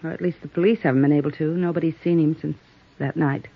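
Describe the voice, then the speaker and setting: Guarded, coy American widow, muffled sound. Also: female voice, low-pitched, fairly even intonation, fast. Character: strained, seductive widow under suspicion. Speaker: radio actress. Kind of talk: old-time radio drama acting. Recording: muffled old radio broadcast recording.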